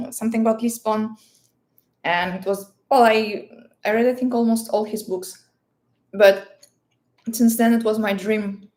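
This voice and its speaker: A woman's voice: mid-range voice, darkish tone. A woman with a Russian accent, a native Russian speaker, chatting casually and playfully as she answers livestream questions, often searching for words. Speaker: livestream host.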